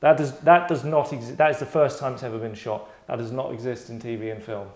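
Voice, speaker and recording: A man's voice: mid-range voice, fairly even intonation, quick pace, a little mellow. A man acting as host and director, reading stage directions and discussing the script informally, often repeating phrases for emphasis. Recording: echoey room.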